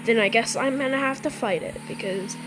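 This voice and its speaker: A woman doing casual gameplay commentary in a roleplay, talking to herself in a halting, stammering way. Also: a little high, over music, rough recording. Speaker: gamer playing a roleplay scenario.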